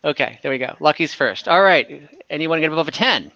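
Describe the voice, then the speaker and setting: A man acts as game master for a fantasy tabletop session. His voice is fairly high and bright, and he narrates casually, feeling his way through explanations. The recording is dry and slightly dull. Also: animated, a little fast, no room sound.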